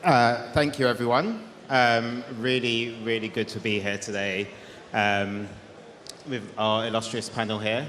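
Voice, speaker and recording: A man's voice: fairly low pitch, steady tone, measured pace, fairly bright tone, slight background noise. A man moderating a conference panel, hesitant with frequent ums. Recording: reverberant hall.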